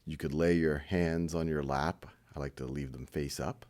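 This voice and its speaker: A man leading a guided meditation in an informal, conversational style, with a gentle, encouraging manner and light self-deprecating humour. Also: a bass voice, fairly even intonation, moderate pace.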